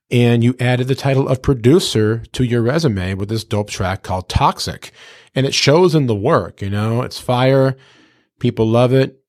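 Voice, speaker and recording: A man's voice: mid-range voice, a little mellow, no room sound, studio quality. A man from New England hosting a radio interview, with polished, formal announcer phrasing and some slang mixed in. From United States, New England.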